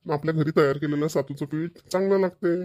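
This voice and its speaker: A man's voice: a little high, steady tone, fast talker, darkish tone, no room sound, natural voice. A man voicing village sons and husbands in a cartoon comedy, with a rural Vidarbha Marathi accent. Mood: pleading. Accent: Maharashtrian, rural Vidarbha Marathi. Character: village men, dutiful sons and put-upon husbands.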